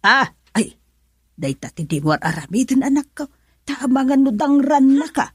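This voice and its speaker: A woman's voice: high-pitched, sing-song, moderate pace, slightly rough, no room sound, studio quality, heavily acted. A woman playing an older, hesitant and soothing mother in an Ilocano-accented radio drama.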